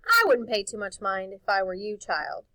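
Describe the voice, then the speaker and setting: A woman's voice: mid-range voice, normal speed, pushed and bright, no room sound, natural voice. A woman voicing an anxious, kindly older housekeeper in a storybook, giving grave, pleading warnings. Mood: guarded warning.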